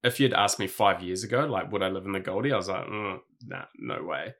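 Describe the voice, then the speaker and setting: A man's voice: low voice, brisk, a bit bright. A New Zealand man in his thirties answering interview questions in a laid-back way, with plenty of ums and likes. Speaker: guest, clothing brand founder.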